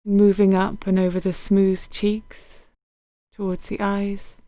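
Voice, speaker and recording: A woman guiding a body scan meditation in a gentle, unhurried, soothing manner, letting her phrases end softly. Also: medium pitch, completely flat delivery, no echo. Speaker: meditation guide.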